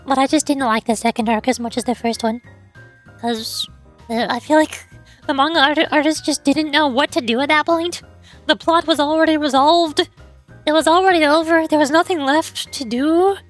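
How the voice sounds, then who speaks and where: A young woman streaming a game in casual, rambling chat, her voice hoarse and fading. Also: sits high, moderate pace, faint music behind. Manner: rambling, self-pitying banter with fillers. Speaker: VTuber streamer.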